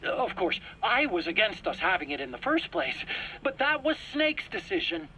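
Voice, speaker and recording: Whiny, high-voiced frightened scientist. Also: male voice, very animated, moderate pace, gravelly, sharp tone, a little hiss or hum, exaggerated voice, low-quality recording. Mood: defensive. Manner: whiny, pleading. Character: frightened, defensive male scientist under interrogation, protesting innocence. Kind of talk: dramatic video game acting.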